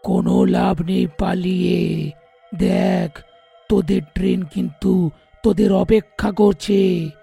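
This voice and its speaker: A menacing ghost haunting a railway station for a cartoon story. He drags his words slowly, and his voice is rough and grinding with a dark, breathy edge. He taunts his victims like a cat toying with prey. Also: mid-range voice, flat delivery, faint music behind, full character voice. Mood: taunting. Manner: taunting, cackling. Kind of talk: cartoon voice acting.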